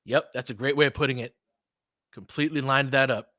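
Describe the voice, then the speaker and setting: A man's voice: medium pitch, fairly even intonation, a little fast, bright, forceful tone, no echo, clean studio sound. A man hosting a casual live sports commentary, talking in an emphatic, conversational way. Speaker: sports show host.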